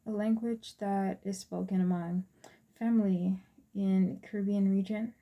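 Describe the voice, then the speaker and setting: A woman in her twenties with an American accent, giving a prepared class presentation in a measured, point-by-point manner. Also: medium pitch, mellow. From US Virgin Islands and Texas, USA. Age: 20s. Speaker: student presenter.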